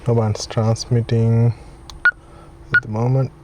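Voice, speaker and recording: A man giving a casual, low-key and somewhat hesitant unboxing and installation walkthrough. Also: little pitch movement, slow pace. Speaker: vlogger demonstrating a product. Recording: clearly noisy, vehicle ambience.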